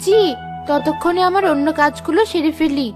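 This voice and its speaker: A woman's voice: sits high, moderate pace, close to an everyday voice. A woman voicing a kind, polite village mother who runs a tea stall in a cartoon. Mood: gentle, welcoming. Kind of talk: Bengali cartoon dub. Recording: music behind the voice.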